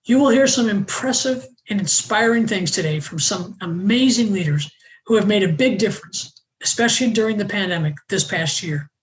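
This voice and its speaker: A woman hosts an online panel and reads her welcome from a prepared script. Her delivery is measured and even, and her voice sits in a middle register. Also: medium pitch, a little hiss or hum, low-quality recording. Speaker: host.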